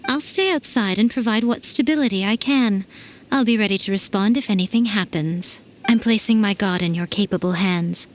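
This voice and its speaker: A woman voicing a sly, aloof female fox familiar in a video game, teasing and knowing in an unhurried way. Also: medium pitch, slight background noise, lightly acted. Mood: solemn.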